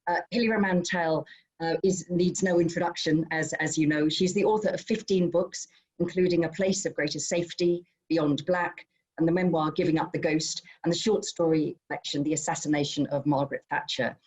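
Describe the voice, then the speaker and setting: A woman with a low voice hosts an event and makes introductions. She is conversational and a little hesitant, feeling her way between phrases. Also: steady tone, a little fast, darkish tone, slightly rough, rough recording. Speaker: event chair. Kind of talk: informal spoken introduction.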